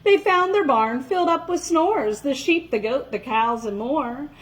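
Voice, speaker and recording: A woman tells a children's story in a slightly high, very animated voice. She takes her time overall and leans into dramatic emphasis, stretching out important words. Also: poor audio. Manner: dramatic, expressive, with drawn-out emphasis. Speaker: storyteller reading a children's picture book.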